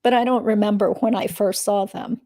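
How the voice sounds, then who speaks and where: A woman's voice: mid-range voice, steady tone, moderate pace, warm tone, no room sound, studio quality, lightly acted. A woman reading the part of a guarded witness testifying in a legal deposition. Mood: guarded, matter-of-fact.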